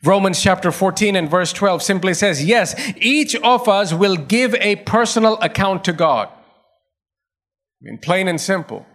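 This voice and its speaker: A man's voice: high-pitched, expressive, unhurried, fairly bright tone. A male pastor preaching a sermon, pressing his points through repetition and rising emphasis.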